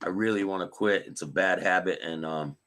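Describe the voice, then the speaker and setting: A man with a raspy voice hosting a live stream. He reads news aloud and chats with viewers by name. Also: sits low, a little fast, a bit bright. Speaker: host.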